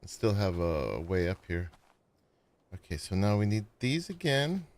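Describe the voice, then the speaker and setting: A man casually chatting to viewers as he plays and builds in a survival game, with a sleepy voice and a relaxed, rambling manner. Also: lowish voice, unhurried, slight background noise. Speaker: gaming streamer. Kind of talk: casual gameplay commentary.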